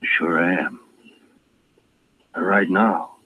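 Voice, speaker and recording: An actor playing a hard, vengeful Western rancher, curt and defiant, in an old-time radio western. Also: male voice, low voice, steady tone, extremely slow, bright, forceful tone, slightly rough, full character voice, rough recording. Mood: cold menace. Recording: thin band-limited old radio recording.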